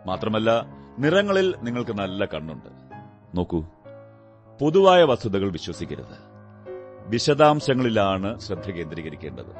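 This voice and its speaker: Radio drama detective: observant, condescending, deliberate and instructive, with animated, swooping intonation. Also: male voice, lowish voice, faint music behind. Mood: amused, explaining. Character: brilliant, self-assured male detective, observant and condescending.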